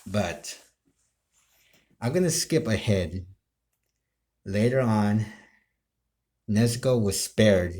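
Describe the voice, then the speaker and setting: Guy with a lowish voice, really slow talker, casually explaining a story in choppy bits. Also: dark tone. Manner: halting, restarting explanations in short broken phrases. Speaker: amateur video creator telling his role-play ideas.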